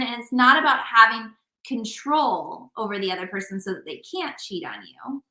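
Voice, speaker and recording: A woman's voice: medium pitch, sharp tone. A woman hosting a relationship podcast as an intimacy coach, talking casually and guiding the talk, a little scattered. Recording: echoey room.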